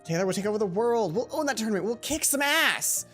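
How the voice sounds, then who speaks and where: A woman voicing a feisty, loyal teenage best friend from a visual novel, boastful and excitable. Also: a little high, fast talker, soft background music.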